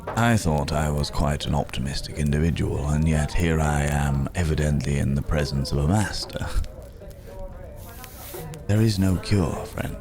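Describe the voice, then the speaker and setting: A man playing a weary, philosophical cursed werewolf in an intimate ASMR roleplay, musing in courteous, old-fashioned words with long, hesitant pauses. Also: very deep voice. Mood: wry, resigned. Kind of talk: slow intimate ASMR audio roleplay acting. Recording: background crowd murmur.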